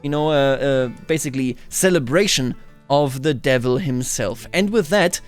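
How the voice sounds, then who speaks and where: A man's voice: mid-range voice, normal speed, soft background music. A man hosting a game playthrough, telling a roleplay story in a musing, discursive, explanatory way. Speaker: host of a video game playthrough series.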